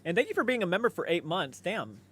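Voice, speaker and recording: Higher-pitched man chatting casually on a livestream. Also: big pitch swings, fast, fairly bright tone, slightly rough. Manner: laid-back, conversational, swears freely. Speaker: livestream host. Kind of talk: casual livestream chat, reading and answering viewer comments.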